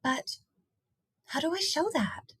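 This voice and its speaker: A woman's voice: sits high, expressive, takes it slowly, a bit bright, no echo, studio quality, pitched above the performer's own voice, full character voice. A woman voicing an unsure, wistful young schoolboy with a tentative, questioning manner in a storybook. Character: unsure, wistful young schoolboy who misses his dad.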